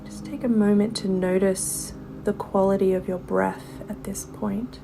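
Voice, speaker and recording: Slow, soft-voiced woman guiding meditation. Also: mid-range voice, fairly even intonation, gravelly, noisy background. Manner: unhurried instruction with gentle pauses. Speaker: yoga teacher leading a guided meditation. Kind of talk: slow, soothing guided meditation.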